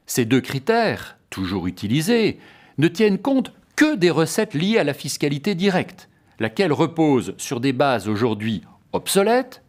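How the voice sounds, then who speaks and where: A man presenting a formal, scripted explanatory lecture in a didactic, carefully structured way. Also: fairly high pitch.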